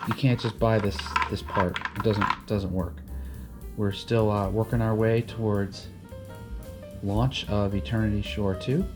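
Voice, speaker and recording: A man hosting a DIY boat vlog, explaining things in casual, unscripted how-to chat with a halting delivery and repeated words. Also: fairly low pitch, fairly even intonation, music in the background.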